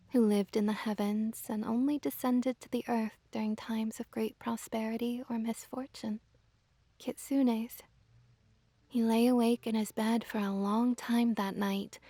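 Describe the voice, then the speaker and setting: A fox witch played by a woman purrs in a fairly high but mellow voice, doting and gently dominant. She speaks slowly and softly, often near a whisper, in an intimate ASMR roleplay style. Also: dry recording. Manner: soft, breathy, slow cooing delivery, often near whisper. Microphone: close ASMR microphone.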